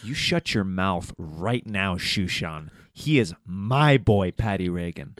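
Theatrical man with very animated delivery. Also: low voice, slow, no echo, clean studio sound. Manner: theatrical, exaggerated. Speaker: comedian, podcast host. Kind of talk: improvised comic banter.

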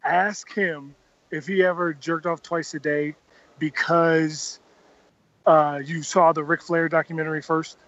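A man's voice: on the high side, little pitch movement, a little slow, a bit bright, no room sound, muffled recording. A man co-hosting a podcast, crude and teasing, cutting in on others. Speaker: podcast co-host. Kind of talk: casual podcast banter.

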